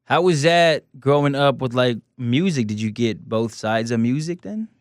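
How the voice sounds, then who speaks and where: Male podcast host, mid-pitch voice, laid back, slangy, dry close mic. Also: moderate pace, clean tone, no room sound. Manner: relaxed, eager questions with slang. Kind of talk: casual interview talk.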